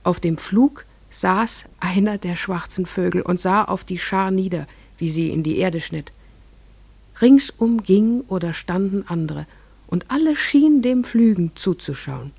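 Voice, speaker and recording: An actress playing an entranced farmer's wife and mother, telling her strange memories in a measured, lyrical radio play monologue. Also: lowish voice, expressive, gravelly, warm tone, slightly rough, dry recording, full character voice. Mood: grave, observant.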